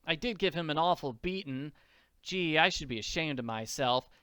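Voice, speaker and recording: A scrappy little comic-strip orphan as a narrator plays her. She muses earnestly in folksy slang, with a clear, lively delivery. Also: mid-range voice, normal speed, no echo, lightly acted. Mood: remorseful.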